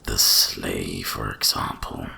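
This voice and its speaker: A man voicing an ancient, cursed winter dragon in a hushed ASMR fantasy monologue, with a gravelly, breathy rumble and slow, mysterious storytelling. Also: fairly even intonation, full character voice. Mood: conspiratorial, knowing. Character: ancient cursed male winter dragon, wise, once proud, brooding.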